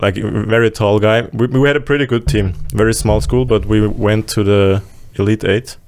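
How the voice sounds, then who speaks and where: A young Austrian man talks in a relaxed, halting way as a podcast guest, often pausing to find the word. His voice sits low and sounds smooth and clear. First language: German. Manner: soft-spoken, halting, with pauses and laughs. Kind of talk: casual podcast chat.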